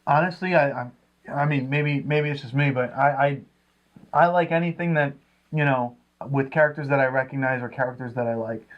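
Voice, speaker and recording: A man co-hosting a casual review, speaking haltingly and repeating words as he reasons. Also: mid-range voice. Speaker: co-host of a comics review show. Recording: dull, lo-fi sound.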